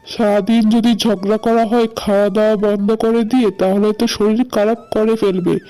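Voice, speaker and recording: Cartoon peacemaker son, voiced by a woman: soft mellow tone, placating, patient, gently reasoning. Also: mid-range voice, little pitch movement, a little fast, soft background music, lightly acted, low-quality recording. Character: peace-making adult son, patient and pleading. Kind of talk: cartoon voice acting.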